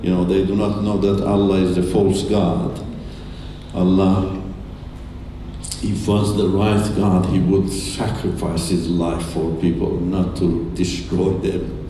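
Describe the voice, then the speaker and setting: A middle-aged man whose first language is Turkish, giving an earnest, halting testimony talk in a large church hall with a handheld mic. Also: low voice, little pitch movement, mellow. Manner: earnest, halting, with many restarts. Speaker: guest speaker. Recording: echoey hall, distant mic, faint hiss.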